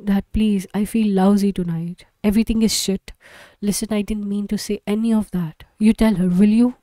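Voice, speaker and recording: A woman's voice: medium pitch, fairly even intonation, normal speed, warm tone, dry recording, lightly acted. A woman voicing a troubled teenage son who sounds strained and despairing.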